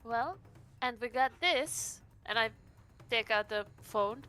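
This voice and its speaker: Woman, sharp bright tone, raised pitch, casual roleplay, tabletop player. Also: expressive, slow.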